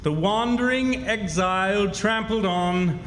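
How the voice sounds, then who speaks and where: A man's voice: high voice, slow pace, fairly bright tone, a little hiss or hum. A man acting a grey-haired old swindler who poses as an exiled French king, folksy and mock-solemn, in old-time radio drama style. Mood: pompous, sorrowful. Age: older. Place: stage in a reverberant historic club hall. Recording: echoey hall stage performance with music.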